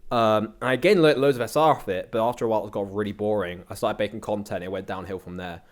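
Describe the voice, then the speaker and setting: A man's voice: lowish voice, quick pace. A young British man chatting casually as an interview guest, telling anecdotes in a slangy, rambling way. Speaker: interview guest, content creator.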